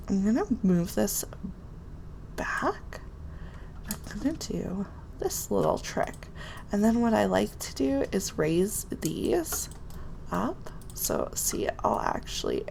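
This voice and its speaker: A woman's voice: mid-range voice, gravelly, slight background noise. A woman with a nasal, congested voice from a cold, chatting casually as she builds in a game and talks to herself. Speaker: Sims building video creator. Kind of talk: casual real-time build commentary.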